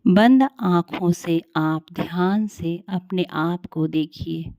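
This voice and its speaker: A woman leading a guided meditation in a calm, soothing, unhurried way with long pauses. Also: fairly low pitch, no room sound, clean studio sound.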